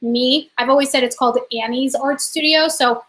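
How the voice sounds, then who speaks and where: A young woman chatting casually on a video call from home, upbeat and animated as she talks about her art business. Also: high voice, moderate pace. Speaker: co-host, art business owner. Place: home room. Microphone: webcam on a video call.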